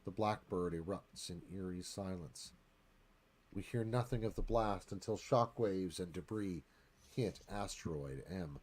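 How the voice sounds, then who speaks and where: A man's voice: sits low, unhurried, darkish tone, slightly rough. A man narrating stage directions in a live dramatic screenplay read.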